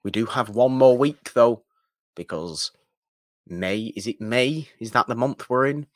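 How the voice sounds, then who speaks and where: A man's voice: mid-range voice, unhurried, dry recording, studio quality. A man with a British accent co-hosting a film podcast in casual chat, a bit mock-pedantic and hedging as he talks. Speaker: podcast co-host.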